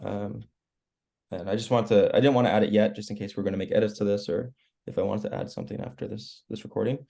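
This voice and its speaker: A hoarse man, losing his voice after a cold, walking colleagues through editing web pages in a relaxed, explanatory way on a video call. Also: lowish voice, quick pace. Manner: relaxed, explanatory, clears throat often. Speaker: web team member giving training. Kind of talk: screen-share walkthrough on a work call.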